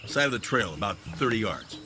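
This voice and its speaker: Radio drama: a hard-edged sergeant, bright forceful voice, clipped orders. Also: male voice, fairly low pitch, sing-song, fairly quick, faint music behind. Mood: gruff. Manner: barking orders, brusque. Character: gruff army sergeant.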